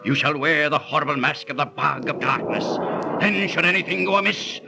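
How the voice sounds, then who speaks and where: An actor playing a grandiose, menacing pagan cult leader, declaiming and scheming in 1930s radio drama style. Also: male voice, fairly high pitch, brisk, vocal fry. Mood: sly, scheming. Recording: noisy 1930s radio transcription recording.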